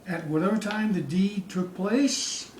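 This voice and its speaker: Echoey, slow, theatrical man reciting verse. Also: high-pitched. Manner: dramatic, suspenseful, drawn-out phrasing with hushed dips and loud peaks. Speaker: poetry reader. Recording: distant, echoey room with faint hiss.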